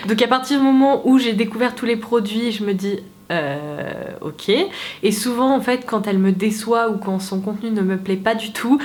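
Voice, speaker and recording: A young woman chats straight to camera, candid and a little self-mocking. She has a head cold that leaves her sounding stuffy. A faint hiss of background noise sits under her voice. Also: mid-range voice. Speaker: beauty vlogger. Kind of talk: casual chat to camera.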